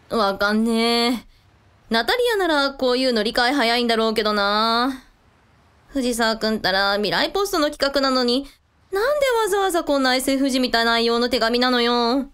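A woman playing a lively, wry young woman in a radio drama, expressive and given to muttering. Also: fairly high pitch, clear, resonant tone, natural voice. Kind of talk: Japanese radio drama acting.

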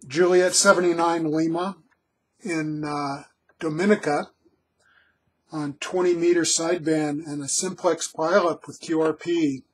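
A man in his sixties, an amateur radio operator, giving call signs and brief remarks in a clipped, deliberate way. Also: a little high, fairly even intonation, breathy edge. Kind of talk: radio call-sign calling and brief commentary. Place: small cluttered home radio room. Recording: loud radio static and clicking noise.

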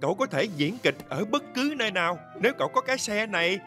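Expressive, earnest little theatre truck, acted. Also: mid-range voice, fast, over music, lightly acted. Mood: polite. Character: shy, earnest little theatre truck, dreamy young puppeteer. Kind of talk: Vietnamese cartoon dub.

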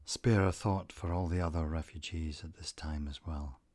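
A man narrating a scripted guided meditation, giving gentle instructions in a calm, deliberate manner. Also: rumbling low.

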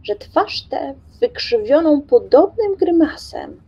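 A woman narrating a literary radio play in a dramatic, deliberate, theatrical way. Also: sits high, sing-song, gravelly, highs cut off.

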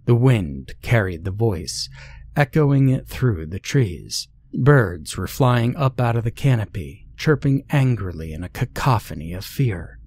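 Male narrator for creepypasta horror fiction, lower-pitched voice, scripted dramatic read. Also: sing-song, a little slow, a little mellow, dry recording.

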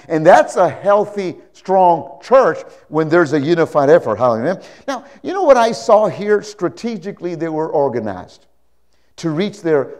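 High-pitched older male preacher, emphatic. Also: darkish tone. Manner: emphatic, repeats phrases, seeks audience agreement. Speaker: pastor. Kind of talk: animated sermon with anecdotes. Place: indoor church stage, speaking to a congregation. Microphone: lapel mic.

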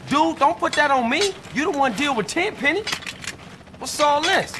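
An actor playing a streetwise young American gangster with blunt, slangy talk in a video game. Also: male voice, very high-pitched, lively intonation, normal speed, heavily acted. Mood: defensive. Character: streetwise young male gangster, exasperated but loyal. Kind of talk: video game cutscene acting. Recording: loud helicopter and vehicle game noise.

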